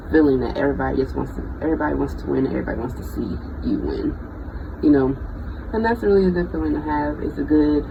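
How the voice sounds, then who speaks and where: A thirty-year-old vlogger speaks in a mid-pitched voice with plenty of fry. The talk is casual, reflective and unscripted, halting as it finds its way. The audio is dull and lo-fi, with clear vehicle-like noise behind it. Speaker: bioengineering student. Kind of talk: casual unscripted personal vlog.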